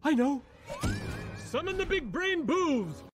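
An excitable alien leader in an animated film. He is pompous yet cowardly, with a very high, theatrical voice that swoops around. Faint music plays behind him. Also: takes it slowly, exaggerated voice. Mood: excited, smug.

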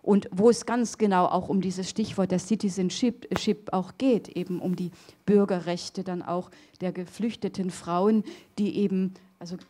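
A middle-aged woman giving an academic lecture in a hall on a handheld microphone, pausing often with fillers and restarts. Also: lowish voice, fairly even intonation, warm tone. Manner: hesitant, many fillers and restarts. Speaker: theology lecturer.

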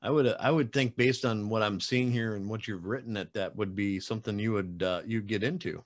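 A man in his forties with a lowish voice hosts a relaxed interview over a webcam call from his home room. He talks in a chatty, rambling way, full of fillers and light teasing. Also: fairly quick. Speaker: podcast host. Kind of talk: casual video-call interview. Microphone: webcam video call.

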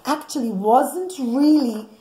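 A woman's voice: high-pitched, takes it slowly, dark tone. A middle-aged woman telling a story to children, explaining as she goes and acting out what characters think. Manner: explanatory, addresses listeners as children, acts out characters' thoughts. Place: indoors, living or dining room at home. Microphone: camera or phone on the table, about a metre away. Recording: echoey room, background noise, clicks.

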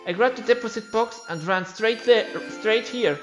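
Guy with a high voice voicing game dialogue, non-native english, kinda dramatic and halting, music behind him. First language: not English. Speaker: game playthrough creator voicing all characters. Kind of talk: acted read-aloud of video game dialogue.

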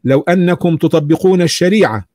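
A man's voice: on the high side, a little mellow, no room sound, natural voice. Learned egyptian sheikh in an audiobook, done by a guy reading, earnest, formal, dry close sound. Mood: serious. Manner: formal, measured explaining. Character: earnest, learned sheikh explaining his homeland. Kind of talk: literary story reading.

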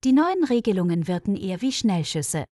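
A woman's voice: mid-range voice, sing-song, fairly quick, dark tone, no echo, close to an everyday voice. Woman reading as thoughtful male nurse, dry sound. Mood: thoughtful, concerned. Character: reserved, thoughtful male nurse, sincere and considerate. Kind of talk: storybook dialogue reading.

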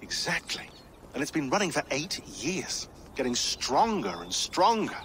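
An actor playing a talkative, sardonic tech expert in a video game. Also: male voice, on the high side, a little slow, a little hiss or hum, heavily acted. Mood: wry. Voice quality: sardonic, animated.

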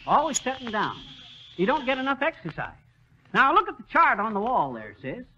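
An actor playing a blustery, bumbling know-it-all shopkeeper in folksy 1930s radio comedy style, mangling big words. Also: male voice, very high-pitched, wildly swooping pitch, fairly quick, sharp tone, a little hiss or hum, heavily acted. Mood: pompous salesman. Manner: folksy slang, malapropisms, exasperated bluster. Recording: muffled 1930s radio recording.

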